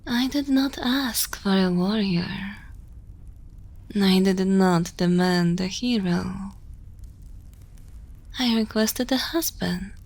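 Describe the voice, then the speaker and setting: Woman doing evil queen asmr roleplay, super slow talking, bossy n motherly, seductive, kinda menacing, slips into whispering a lot. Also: medium pitch, a little mellow. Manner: slow, deliberate, dominant, often dropping into whispers.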